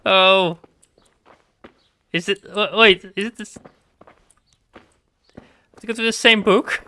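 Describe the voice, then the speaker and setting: A man's voice: high-pitched, fairly even intonation, slow pace, pushed and bright. A man with a Dutch accent, chatting casually while streaming a game, giggly and hesitant with many ums. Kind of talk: casual gameplay commentary.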